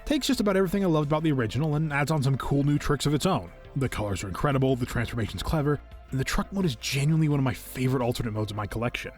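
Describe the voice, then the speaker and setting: A man hosting a video, reading a scripted review in an enthusiastic, expressive and earnest way. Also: medium pitch, rapid-fire. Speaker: video host, toy collector reviewing figures. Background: faint music.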